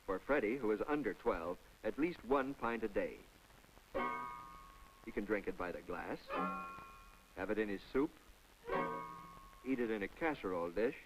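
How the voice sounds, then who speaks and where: A man's voice: fairly low pitch, low-quality recording. A man narrating a scripted 1950s public information film in a folksy, instructive way, with conversational asides to the viewer. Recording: vintage 1950s film soundtrack.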